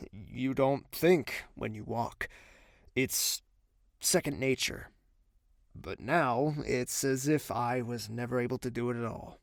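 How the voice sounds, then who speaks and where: Creaky, weary young royal played by a man. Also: mid-range voice, slow pace, no room sound. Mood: resigned. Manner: dry, curt, world-weary. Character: weary, brooding young male royal, bedridden and blunt. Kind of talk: audio drama acting.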